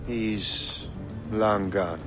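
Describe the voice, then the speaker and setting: An actor playing a wary, plainspoken young sea captain in a video game. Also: male voice, lowish voice, steady tone, extremely slow, music in the background. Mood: guarded. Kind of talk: dramatic video game dialogue. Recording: noisy sea and wind ambience.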